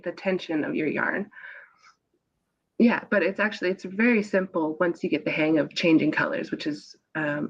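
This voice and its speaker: A woman guest with a stuffy voice from a cold, explaining a craft technique in a relaxed way with fillers, on a video call through earbuds. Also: on the low side, muffled recording. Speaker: guest designer. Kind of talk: casual explanatory interview talk.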